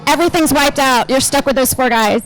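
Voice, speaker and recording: A woman hosts interviews with a very high, light voice, chatty and playful as she asks her questions. Faint music sits underneath. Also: a little fast, poor audio. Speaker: host and interviewer. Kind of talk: upbeat interview hosting.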